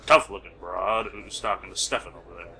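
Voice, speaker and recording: A man playing a brisk, dry police officer in an amateur radio play, clipped and procedural. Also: deep voice, sharp tone. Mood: matter-of-fact.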